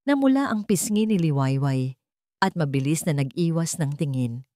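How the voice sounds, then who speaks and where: A woman's voice: deep voice, expressive, moderate pace, warm tone, no room sound. A woman with a Filipino accent reading a scripted romantic drama story as its narrator.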